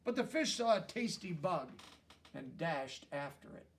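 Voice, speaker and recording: A man reading a children's story aloud as an expressive narrator. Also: on the high side, measured pace, distant mic. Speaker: storybook reader.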